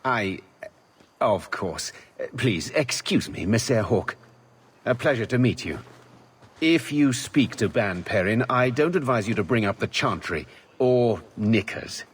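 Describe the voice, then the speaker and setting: A man's voice: mid-range voice, animated, measured pace, breathy edge. A man voicing a courteous, genial nobleman in a video game cutscene. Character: courteous, genial nobleman, mildly flustered gentleman.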